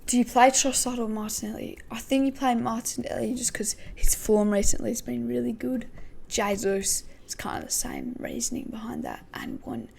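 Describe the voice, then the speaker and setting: A woman hosting a casual football podcast, her voice croaky, thinking aloud with many hesitations. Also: a little high, big pitch swings, moderate pace, hardly any pauses, a little mellow, slight background noise. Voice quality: gravelly. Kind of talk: casual pre-match football talk.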